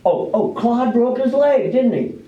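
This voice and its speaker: A man in an amateur readers theater play, playing a brisk, folksy village official who runs a drawing. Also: extremely high pitch, lively intonation. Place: live performance in a library room. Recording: roomy, distant, dull live library recording.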